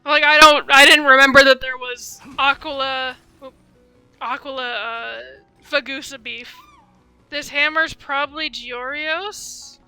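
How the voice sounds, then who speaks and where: A woman's voice: sits high, fairly even intonation, a little slow, bright, forceful tone. A woman doing casual livestream game commentary, rambling and thinking aloud in a tentative way. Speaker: game streamer. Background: game music.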